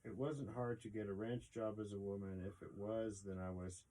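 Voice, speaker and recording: A man's voice: lowish voice, fairly even intonation, normal speed, dark tone, a little hiss or hum, low-quality recording. A man hosting a casual storytime, reading an article aloud in an informal, rambling way with asides. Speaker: storytime host.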